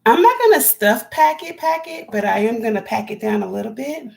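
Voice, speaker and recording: A woman with a raspy voice chatting casually on a live stream as a homesteading vlogger, rambling and talking to viewers by name. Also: on the high side, lively intonation, a little fast, darkish tone, a little hiss or hum. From California.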